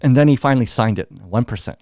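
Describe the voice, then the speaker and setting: A middle-aged American man tells stories bluntly, with animated energy, and voices the people in them as he goes. His voice sits in a mid range. The sound is dry and close. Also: mid-range voice, fast talker, vocal fry, no room sound. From United States. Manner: blunt, animated, acts out quoted dialogue. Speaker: guest. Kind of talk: candid storytelling interview.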